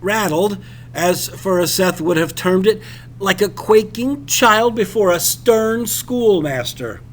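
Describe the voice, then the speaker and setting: A man reading a literary passage as expressive scripted narration, with dramatic, deliberate phrasing. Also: high-pitched, a little hiss or hum.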